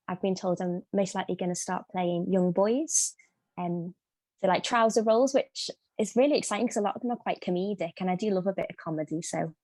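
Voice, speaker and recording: A woman's voice: lowish voice, a little mellow, no room sound. A young woman from Liverpool with a light Scouse accent, chatting as a guest singer with many ums and restarts. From Liverpool, England. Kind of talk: casual interview chat.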